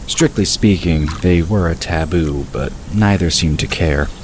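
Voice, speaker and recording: Male narrator, extremely deep, measured storytelling; hissy recording. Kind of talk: scripted audiobook narration. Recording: hissy recording with bird ambience.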